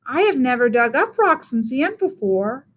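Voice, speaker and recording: A woman's voice: high-pitched, sing-song, normal speed, fairly bright tone, slight background noise. A woman voicing a timid, nervous toy backhoe who sounds unsure of itself.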